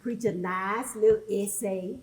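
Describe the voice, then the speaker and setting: A woman playing an earnest, teasing church preacher of the civil-rights era in a staged sermon, halting and pointed in delivery. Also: medium pitch, fairly even intonation, a little mellow, lightly acted. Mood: wry, deliberate. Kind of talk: staged readers theatre sermon.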